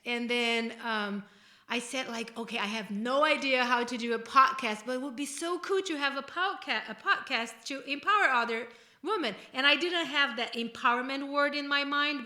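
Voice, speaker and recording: A woman's voice: high voice, normal speed, sharp tone. A middle-aged woman with a Brazilian accent, talking casually and earnestly as a podcast host in a rambling way. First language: Portuguese. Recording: roomy, echoey room sound.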